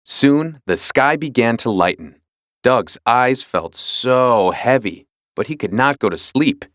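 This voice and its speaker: Male narrator, children's read-along, expressive storytelling, very lively intonation, dry close sound. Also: medium pitch, takes it slowly, no echo.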